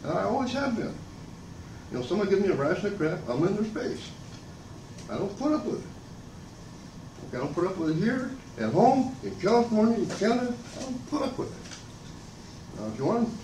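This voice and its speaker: Booming, abrasive older man, far off. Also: big pitch swings, moderate pace, pauses often. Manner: loud and booming, abrasive, rambling and aggrieved. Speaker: member of the public giving comment. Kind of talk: public comment at a meeting. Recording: echoey room from far off, noisy.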